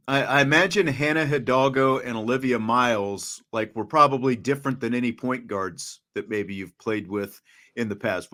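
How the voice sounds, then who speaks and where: A man's voice: mid-range voice, fairly bright tone. A man interviewing a young athlete in a chatty, conversational way, often restarting and repeating words. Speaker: sports broadcaster, interviewer.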